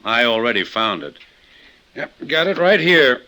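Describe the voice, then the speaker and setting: An actor playing a shrewd, laconic small-town police chief with folksy phrasing in an old-time radio detective drama. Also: male voice, mid-range voice, big pitch swings, measured pace, bright, forceful tone.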